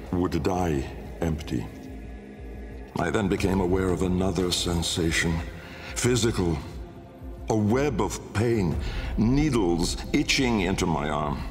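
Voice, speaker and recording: An actor playing a haunted, brooding older aristocratic inventor in a video game, delivering a grandiloquent, deliberate dramatic monologue in a gravelly voice. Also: male voice, low voice, exaggerated voice. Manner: grandiloquent, deliberate, pausing for weight. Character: brooding, haunted male aristocratic inventor recounting his memoir. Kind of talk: theatrical dramatic monologue narration. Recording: long cavernous reverb under music.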